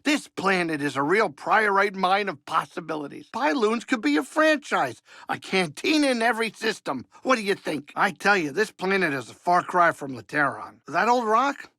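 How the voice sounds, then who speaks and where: A gravelly, middle-aged alien starship pilot from a video game. He grumbles and frets in a chatty, wry way, with a kindly streak under the nerves. The sound is dry and close, with plenty of creak in the voice. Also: high-pitched, big pitch swings, moderate pace, few pauses, pushed and bright, no room sound, full character voice. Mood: excited. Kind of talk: video game character acting.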